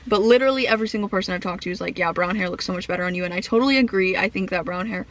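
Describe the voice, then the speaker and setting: A young woman vlogging to camera in a rambling casual chat full of fillers, her voice croaky from a lingering cough. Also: lowish voice, very fast. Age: young adult.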